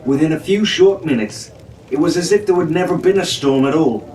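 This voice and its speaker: Male audiobook storyteller, dramatic scripted delivery, fairly high pitch, somewhat distant mic with background noise. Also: a little fast, a little mellow.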